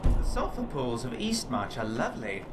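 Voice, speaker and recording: A man's voice: medium pitch, huge pitch swings, normal speed. A man voicing a courteous lizard-man mage companion in a fantasy video game. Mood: polite, wistful. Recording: quiet, distant, under loud game noise.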